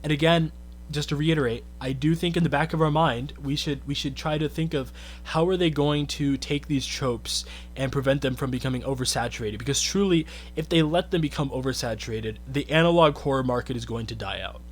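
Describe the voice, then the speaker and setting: A man's voice: mid-range voice, fairly quick, a little hiss or hum. A man giving a casual, earnest commentary rant, thinking aloud with lots of "I think" and "you know". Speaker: YouTube commentator.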